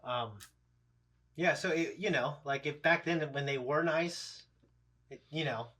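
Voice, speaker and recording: A man's voice: fairly high pitch, a little slow, bright, forceful tone. A man in his thirties chatting casually as a reaction show host, in a rambling, blunt, profanity-laced way. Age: 30s. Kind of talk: casual chat, episode discussion.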